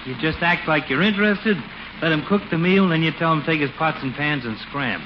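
Man as a nosy, higher-pitched barber schemer. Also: brisk, close to an everyday voice. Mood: sly, persuasive. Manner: slangy, brash, wheedling. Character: nosy, slangy male small-town barber, sly schemer. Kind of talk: 1940s radio sitcom acting. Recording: noisy, muffled 1940s radio broadcast recording.

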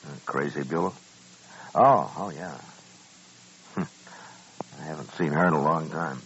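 Very deep-voiced frontier marshal. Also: male voice, slow pace, a bit bright, breathy edge, full character voice. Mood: amused, wry. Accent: American. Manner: laconic, wry, understated. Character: calm, dry-humored frontier marshal of the Old West. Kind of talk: 1950s American radio western acting. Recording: dull old-time radio recording.

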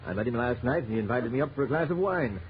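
Actor as animated, commanding village sheriff. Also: male voice, medium pitch, quick pace, close to an everyday voice. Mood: measured, firm. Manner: emphatic, commanding. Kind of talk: 1930s radio drama acting. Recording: dull, noisy 1930s radio recording.

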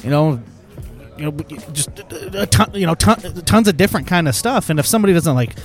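A man's voice: a little high, lively intonation, brisk, faint music behind. A man co-hosting a podcast in casual chat, rambling with many fillers and laughing often. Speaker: podcast co-host.

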